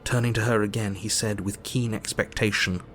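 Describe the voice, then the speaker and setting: An actor playing a composed Victorian doctor, narrating his diary in measured, formal storytelling. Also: on the low side, fairly even intonation, a bit bright, soft background music, natural voice. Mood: composed, recounting. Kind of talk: scripted narration.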